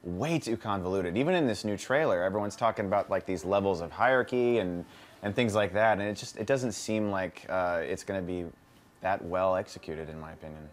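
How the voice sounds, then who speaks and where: A man's voice: sits low, a little fast. A man giving his opinions conversationally as a panelist on a movie talk show. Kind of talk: casual panel discussion.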